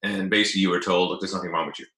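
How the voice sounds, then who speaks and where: Irish guy in his 50s, deep but bright voice, chatting about his own life, echoey room sound. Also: level tone, rapid-fire. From Ireland. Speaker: interview guest, coach. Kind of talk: conversational personal storytelling. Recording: roomy, echoing sound.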